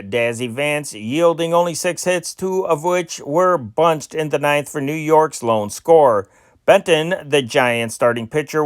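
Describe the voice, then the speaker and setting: A man with a somewhat high voice reads old newspaper sports stories in his own amateur style. His pitch swings up at the start of phrases and drops low at their ends, with the odd slip and restart. The recording is close and dry. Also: clean tone, dry recording. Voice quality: amateur home-made reading with small slips. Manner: sing-song reading with big rises and drops in pitch. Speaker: newspaper reader, channel host. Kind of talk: reading old sports news aloud.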